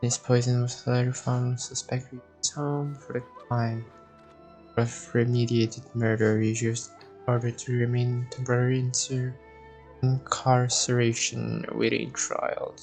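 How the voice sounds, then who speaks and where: A young man live-streaming a game, casually commenting and reading aloud in a halting, stumbling way. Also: mid-range voice, level tone, creaky, darkish tone, rough recording. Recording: game music behind the voice.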